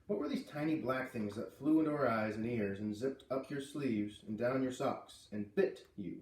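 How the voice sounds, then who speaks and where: A man's voice: medium pitch, normal speed, darkish tone. Man reading aloud, in an echoey room. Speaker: read-aloud storyteller. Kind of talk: expressive read-aloud of a children's novel. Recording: roomy echo, distant from mic.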